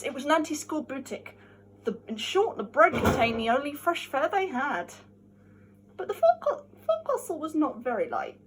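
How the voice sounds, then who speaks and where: A woman giving an amateur dramatic reading of a classic novel, expressive and emphatic, drawing out some of her words. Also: high-pitched, a little hiss or hum, rough recording. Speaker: amateur reader.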